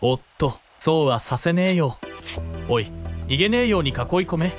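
A man's voice: fairly high pitch, animated, normal speed, faint music behind, natural voice. A man playing a cocky, menacing thug in a radio drama, with coarse, taunting, slangy speech. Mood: threatening. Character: cocky, menacing male thug pestering a shrine maiden. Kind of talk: Japanese radio drama acting.

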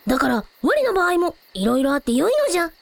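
Childlike dragon prince, very high voice, creaky edge, dry close sound, archaic proud speech, audio drama. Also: female voice, big pitch swings, quick pace, dry recording, heavily acted. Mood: proud, reassuring. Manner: quaint archaic old-fashioned lordly speech.

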